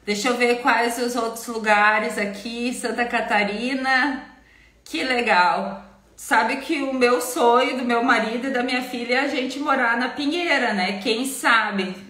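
A woman, hoarse from a cold, talking straight to her webcam from a home office. Her voice sits a little high and carries some room echo. She explains things in a relaxed, chatty way and keeps closing her points with a quick tag question. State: has a cold, nearly voiceless. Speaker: family lawyer answering viewer questions. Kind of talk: casual live-stream Q&A. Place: home office with bookshelves, indoors.

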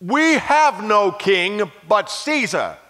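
A man voicing an angry crowd of accusers, yelling high and loud. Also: very animated, takes it slowly, big-room sound, heavily acted. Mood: furious.

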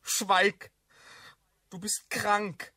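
An actor playing an old Inquisitor's devoted son and subordinate in a radio drama, deferential, anxious and pleading. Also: male voice, sits high, flat delivery, very slow, pushed and bright, no echo, exaggerated voice. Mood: pleading, alarmed. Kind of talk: German radio drama acting.

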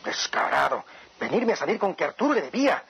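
Radionovela role: indignant, scornful man, high gravelly voice, barking orders at an unwanted visitor. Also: big pitch swings, fairly quick, fairly bright tone, lo-fi sound, heavily acted. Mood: furious, scornful. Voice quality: husky, gravelly. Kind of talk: radio soap opera acting.

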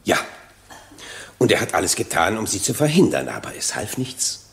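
A man's voice: lowish voice, sing-song, moderate pace, vocal fry. An actor playing a self-righteous, evasive witness in his sixties in a radio drama, emphatic and self-justifying. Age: 60s. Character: self-righteous, evasive 61-year-old male sales agent testifying. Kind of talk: German radio drama acting.

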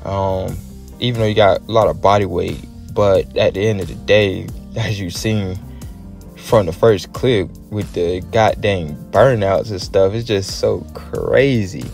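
A man's voice: sits low, unhurried, gravelly, darkish tone. An American man hosting a car channel, giving enthusiastic, slangy, casual commentary as a voice-over. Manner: enthusiastic, informal slangy talk. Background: background music.

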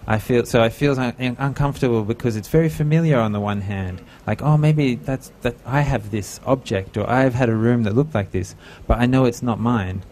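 A man's voice: medium pitch, a little fast, few pauses, a little mellow, slight background noise. A middle-aged man hosting an interview at an art fair booth, speaking on a handheld mic and sometimes searching for words. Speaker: talk host. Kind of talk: interview host.

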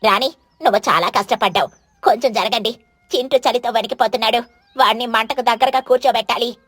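A woman's voice: a little high, a little fast, a bit bright, no echo, soft background music. A woman voicing a sly, selfish mother crow in a bird cartoon, with a wheedling, falsely innocent and pleading manner.

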